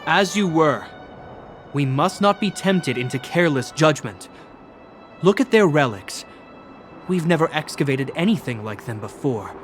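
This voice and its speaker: Solemn, dutiful male captain: measured, authoritative game delivery over orchestral music. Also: on the high side, animated. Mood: stern. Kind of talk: video game voice acting. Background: orchestral violin music.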